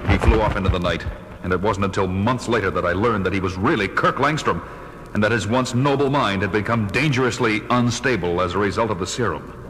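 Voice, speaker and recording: A man playing a serious, steady masked hero who narrates his story with authority in a children's audio drama. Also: lowish voice, brisk, a little hiss or hum, lightly acted, low-quality recording. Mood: grave storytelling. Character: steady, heroic adult male masked crime fighter. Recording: very loud music behind.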